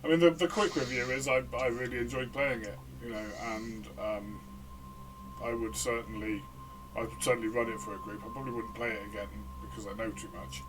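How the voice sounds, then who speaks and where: A man, a player and co-host, chatting casually in a loose, halting way. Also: medium pitch, fairly even intonation. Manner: loose, halting, laughs at his slips. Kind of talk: casual review chat. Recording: distant mic with noticeable hiss.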